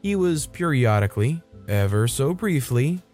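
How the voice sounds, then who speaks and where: A man's voice: medium pitch, very animated, normal speed, darkish tone. A man reading out online stories in a scripted storytime style, with an emphatic delivery. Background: music.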